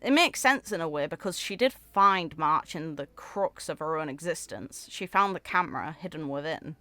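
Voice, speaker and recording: A woman's voice: sits low, expressive, normal speed, pushed and bright, dry recording. A woman creating a gaming video, reading story text aloud and adding casual commentary.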